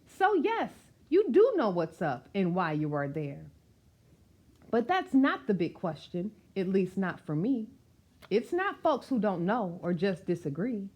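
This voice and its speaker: A woman reads a rhyming children's book aloud with a highly animated, swooping delivery. Her mid-pitched voice leans into key words with emphasis. She breaks off for conversational asides and questions to her listeners. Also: a little slow, slightly rough. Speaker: storyteller reading a picture book to children.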